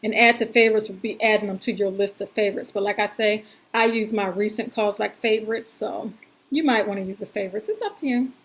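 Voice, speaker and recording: A woman's voice: medium pitch, a little fast, poor audio. A woman presenting an informal step-by-step tutorial in a chatty, folksy and reassuring way, using plain everyday words.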